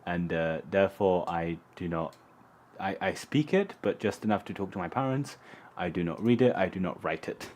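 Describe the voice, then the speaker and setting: A man from Poland hosts a live stream in his home workshop, chatting in a loose, rambling way and humming little tunes. His voice sits low but swings widely up and down. There is a steady noise behind him. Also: normal speed. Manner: chatty, rambling, hums to himself. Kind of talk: casual live stream chat. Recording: loud background noise.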